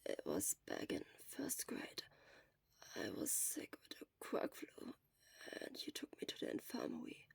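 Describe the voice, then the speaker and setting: A woman voicing a sick, weary teenage boy in a weak, breathy whisper, halting and embarrassed, for an audio story. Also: on the high side, huge pitch swings, heavily acted. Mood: halting, confused. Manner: halting, faltering.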